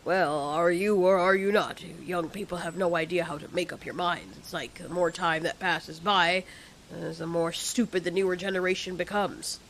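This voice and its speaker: A woman's voice: lowish voice, steady tone, moderate pace, fairly bright tone, a little hiss or hum, pitched below the performer's own voice, poor audio. A woman voicing a grumpy old male giant monster, blunt, crude and scornful, in an audio drama. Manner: crotchety, blunt.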